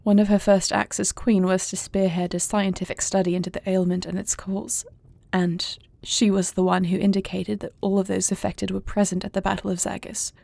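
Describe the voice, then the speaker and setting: A woman acts out a young dragon princess in an intimate audio drama. Her voice is mellow and creaky, held in a narrow, even range. She speaks formally and softly, with the measured gravity of a dutiful, grieving heir. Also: mid-range voice, no echo. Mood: somber, subdued. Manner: formal, measured, soft-spoken. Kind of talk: intimate audio roleplay acting.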